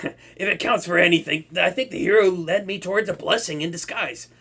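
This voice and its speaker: A man's voice: high-pitched, fairly quick, vocal fry, fairly bright tone, husky, heavily acted. A man playing a flustered, boastful young male supervillain who stammers and swings between moods. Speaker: voice actor. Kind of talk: hobby audio roleplay to a listener.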